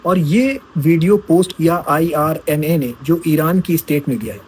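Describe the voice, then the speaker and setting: A man's voice: on the high side, expressive, normal speed, darkish tone, slight background noise, low-quality recording. A man giving casual news commentary, talking straight to his viewers as friends and asking rhetorical questions.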